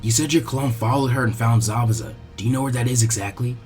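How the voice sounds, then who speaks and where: Be a man voicing a lazy teenage ninja strategist in an anime tale, low and dismissive, everything a bother, music faint behind. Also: fast, a little mellow, lightly acted. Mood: probing.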